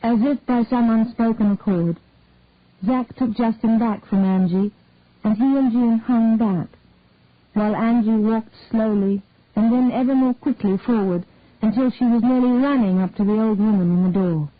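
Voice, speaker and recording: A woman narrating a detective story in a measured, even storytelling manner. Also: fairly high pitch, no room sound. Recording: tinny dry phone-like sound.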